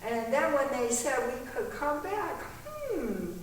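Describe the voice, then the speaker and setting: A woman's voice: sits high, sing-song, takes it slowly. A woman speaking informally as a panelist, candid and reflective in her delivery. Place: echoey meetinghouse hall. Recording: echoey hall, distant mic, faint noise.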